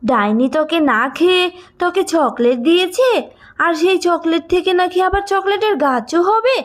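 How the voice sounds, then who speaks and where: A woman voicing an emphatic, fussing village mother, loving but quick to scold, in a cartoon. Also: very high voice, animated. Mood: disbelieving. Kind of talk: cartoon voice acting.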